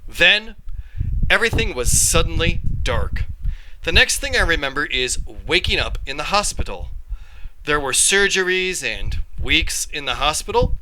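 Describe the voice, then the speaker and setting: Very bright-voiced man reading a story aloud. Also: on the high side, a little hiss or hum, rough recording. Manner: animated, dramatic phrasing with pauses. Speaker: read-along storyteller, teacher. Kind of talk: expressive read-aloud of a children's story in first person.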